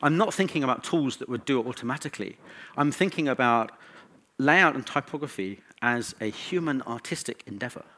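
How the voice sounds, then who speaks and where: Male conference presenter, mid-pitched voice, informal and conversational delivery with dry, wry asides. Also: lively intonation, fairly quick, fairly bright tone. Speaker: conference speaker. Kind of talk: conference talk.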